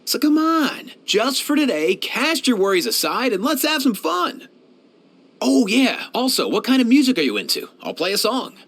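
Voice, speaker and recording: An actor playing a kindly, laid-back young veterinarian in a video game, talking in casual slang. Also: male voice, very high-pitched, sing-song, normal speed, fairly bright tone, full character voice. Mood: cheerful, encouraging. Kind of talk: game voice lines, conversational.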